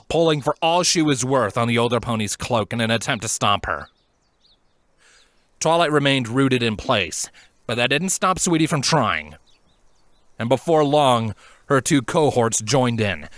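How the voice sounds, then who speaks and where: A man narrating a scripted story in an expressive, dramatic manner. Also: medium pitch, moderate pace, dry recording. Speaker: fan fiction reader, narrator.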